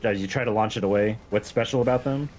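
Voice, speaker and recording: A man's voice: fairly low pitch, fast, a little mellow, rough recording. A man in casual gameplay chat, a modder explaining things in a laid-back way. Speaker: mod creator guesting on a stream. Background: game music.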